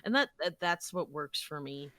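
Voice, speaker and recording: A woman's voice: sits low, lively intonation, normal speed, bright, forceful tone, dry recording, studio quality. A woman speaking as an interview guest, explaining her craft conversationally and thinking aloud as she goes. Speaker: voice actor, podcast guest.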